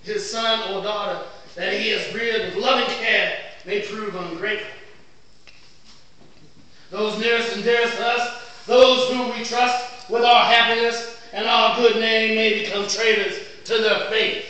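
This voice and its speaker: Casting: man as solemn, theatrical barrister, bright high voice, orating in an echoey hall. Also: steady tone, measured pace, full character voice. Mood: earnest. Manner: grandiloquent, declamatory, solemn. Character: impassioned male lawyer pleading to a jury. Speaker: stage actor. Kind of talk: theatrical stage oratory. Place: indoor theatre stage. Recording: echoey theatre, distant mic, faint noise.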